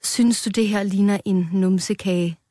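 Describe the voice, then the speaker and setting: An elderly storybook strawberry, voiced by a woman, friendly and curious but blunt. The delivery is measured and questioning, in a very dry recording. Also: medium pitch, fairly even intonation, warm tone, no echo, close to an everyday voice.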